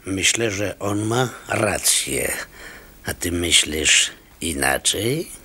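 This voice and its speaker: A sly pearl merchant in a radio play. His low voice swings widely in pitch, with a forceful edge. He is calculating and measured in manner. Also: expressive, a little hiss or hum, exaggerated voice. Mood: conspiratorial, commanding. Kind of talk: Polish radio drama acting.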